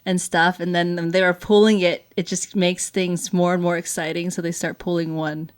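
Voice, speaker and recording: Woman, slightly low voice, informal co-host explaining things conversationally in loose, everyday phrasing. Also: flat delivery, normal speed. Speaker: show co-host, parent.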